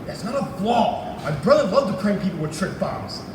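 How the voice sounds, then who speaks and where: A man playing a weary but protective gruff old warrior with a creaky voice, on stage. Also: high-pitched, sing-song, moderate pace. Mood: grave. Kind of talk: live readers theatre acting. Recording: echoey hall from far off, noisy.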